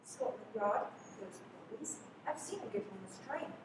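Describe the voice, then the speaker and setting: A woman's voice: on the high side, very animated, normal speed. A woman voicing a cheeky, gossiping male train passenger in a live radio play. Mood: nosy. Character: cheeky, gossiping British man riding a train. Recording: very echoey, distant mic, clear noise.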